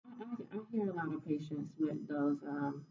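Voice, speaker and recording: A woman playing a clinician in a role-played voice evaluation, asking calm, methodical questions. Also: deep voice, moderate pace, highs cut off, slight background noise. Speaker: student clinician in a mock voice evaluation.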